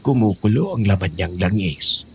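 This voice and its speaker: A man's voice: lowish voice, animated, normal speed, slight background noise, close to an everyday voice. A man playing a teasing, protective old grandfather in an audio horror drama. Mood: grave, protective. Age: older. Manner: jokey, fond, cautioning.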